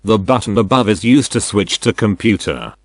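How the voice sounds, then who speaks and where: Low-pitched older man explaining step by step. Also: lively intonation, normal speed, no echo, studio quality. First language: not English. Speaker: product demonstrator. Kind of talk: scripted instructional narration.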